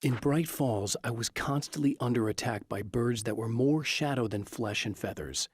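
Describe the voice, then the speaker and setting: A man's voice: mid-range voice, normal speed, a little hiss or hum, natural voice. A man playing a weary, serious writer turned hunted hero in a video game, speaking in a grave, matter-of-fact way. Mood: brooding. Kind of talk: video game acting, brooding monologue and terse dialogue.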